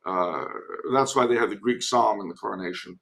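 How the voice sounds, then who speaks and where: A man's voice: on the low side, brisk, gravelly, fairly bright tone. A man giving casual historical commentary as a podcast guest, digressive and given to wry asides.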